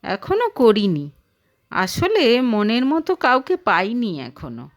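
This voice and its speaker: A woman's voice: fairly high pitch, wildly swooping pitch, slow pace, heavily acted. A woman voicing a wistful, teasing young man, a former lover in an audio story, with a probing manner. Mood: friendly.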